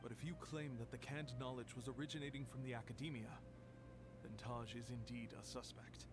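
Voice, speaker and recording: An actor playing a grave, weary archive official in a video game, speaking in a measured, serious, explanatory way. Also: male voice, mid-range voice, fairly even intonation, close to an everyday voice. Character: grave, weary male archive official recounting past events. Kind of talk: video game voice acting, expository dialogue. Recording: noisy background on game stream.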